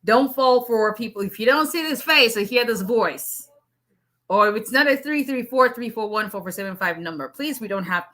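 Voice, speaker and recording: A middle-aged woman with a mixed Nigerian and Southern US accent, an immigration lawyer chatting on a live stream. She talks fast, with lots of asides. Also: fairly high pitch, lively intonation, fairly bright tone. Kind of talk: casual live-stream chat.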